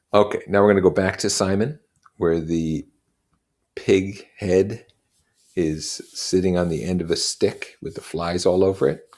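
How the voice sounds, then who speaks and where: Husky, slow expressive story reader. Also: deep voice. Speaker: reader commenting on a novel. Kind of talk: expressive read-aloud narration with explanatory comments.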